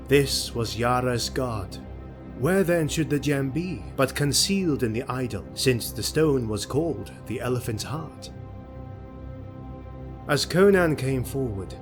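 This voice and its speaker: A man narrating a grim sword-and-sorcery audio drama as storyteller, in a measured, theatrical, solemn delivery. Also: mid-range voice, natural voice.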